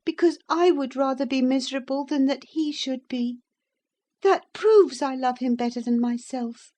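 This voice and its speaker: Woman voicing a high-pitched, spirited young lady. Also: normal speed. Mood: earnest. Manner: bright, exclaiming, emphatic. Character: spirited, impulsive teenage young lady, playful and defiant. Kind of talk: audiobook character voice.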